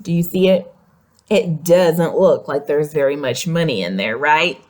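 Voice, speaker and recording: A woman's voice: deep voice, a little slow, a little mellow. A woman reading a picture book aloud to young children, expressively, with simple questions and explanatory asides. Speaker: read-aloud storyteller.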